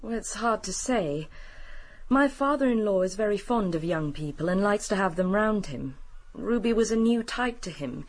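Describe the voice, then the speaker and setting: An actress playing a composed, genteel, guarded British widow in a radio drama. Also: female voice, medium pitch, expressive, normal speed, close to an everyday voice, rough recording. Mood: guarded, measured.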